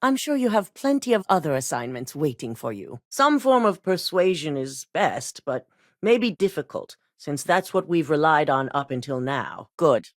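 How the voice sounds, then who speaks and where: An icy corporate boss, low-voiced. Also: female voice, big pitch swings, moderate pace, no room sound, clean studio sound. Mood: cold. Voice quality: clipped, commanding, icy. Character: cold ruthless woman corporate executive. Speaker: video game character. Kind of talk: game dialogue voice acting.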